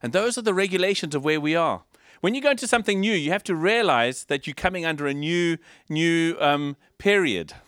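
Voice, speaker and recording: Male preacher, Zimbabwean accent, higher-than-average pitch, informal explanatory sermon delivery, close dry sound. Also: lively intonation, fairly quick, a bit bright, no echo. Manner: explanatory, informal, with rhetorical questions. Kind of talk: conversational teaching sermon.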